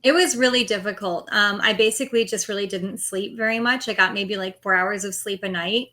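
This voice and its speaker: A middle-aged woman answers interview questions about her writing life candidly, often breaking into easy laughter. Her voice is bright and clear, at a middling pitch for a woman. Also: a little fast. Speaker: author interview guest.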